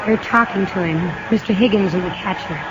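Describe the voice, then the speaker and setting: An actress playing an anxious wife, worried and protesting, in a 1950s radio drama. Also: female voice, mid-range voice, brisk, close to an everyday voice. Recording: noisy, muffled old radio recording.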